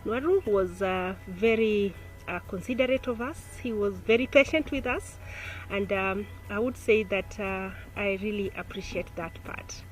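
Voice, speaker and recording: A woman giving an earnest, somewhat halting testimonial about a course she took. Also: mid-range voice, soft background music, rough recording. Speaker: course participant.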